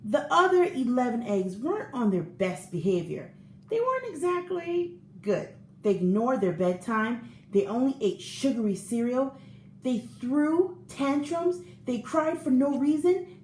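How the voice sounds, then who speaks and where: An earnest, eager-to-please storybook egg, acted out by a woman in a high, very animated voice. The delivery is theatrical and expressive, as if telling its own tale to children. The room adds a noticeable echo. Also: unhurried. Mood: disapproving. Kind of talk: children's picture book read-aloud, first-person storytelling. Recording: roomy echo with faint background noise.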